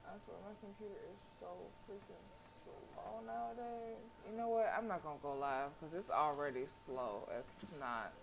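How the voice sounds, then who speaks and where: Low-voiced sassy woman game streamer. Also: moderate pace, vocal fry, hardly any pauses, background noise. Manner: sassy, slangy. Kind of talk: casual livestream commentary and reading aloud.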